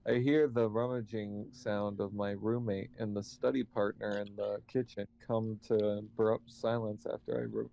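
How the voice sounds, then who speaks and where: Low, creaky-voiced man reading aloud. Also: little pitch movement, dark tone, low-quality recording. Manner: halting reading with slips and self-corrections. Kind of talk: reading visual novel narration aloud.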